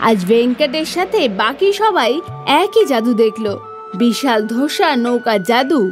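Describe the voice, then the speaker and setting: A woman's voice: fairly high pitch, lively intonation, normal speed, faint music behind. A woman narrating a scripted children's story as the storyteller.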